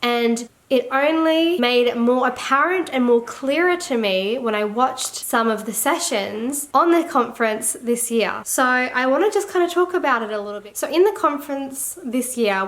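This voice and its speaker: An Australian woman and teacher-seller chatting casually to camera in a vlog, a little congested and croaky, rambling with lots of fillers. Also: a little high, fairly bright tone. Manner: rambling, filler-heavy, laughs often. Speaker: teacher and online resource seller.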